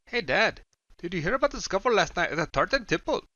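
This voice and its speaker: A brash, cocky young farmhand voiced by a male storyteller who speaks in a raised, lively voice. His tone is bright and loud. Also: high voice, fast, no room sound, dull audio, pitched above the performer's own voice, full character voice. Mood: joking.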